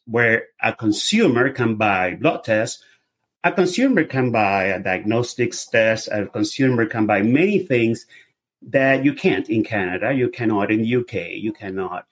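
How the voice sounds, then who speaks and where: Husky middle-aged man with a Venezuelan accent. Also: medium pitch. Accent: Venezuelan Spanish. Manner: explanatory, loose run-on sentences with restarts. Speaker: guest, lab testing business owner. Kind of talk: casual podcast interview.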